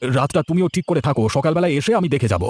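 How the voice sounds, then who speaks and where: A man's voice: a little high, animated, rapid-fire, darkish tone. A man voicing a helpful, frank village man in a cartoon story, giving reassurance and preachy advice. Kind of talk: Bengali cartoon story voice acting.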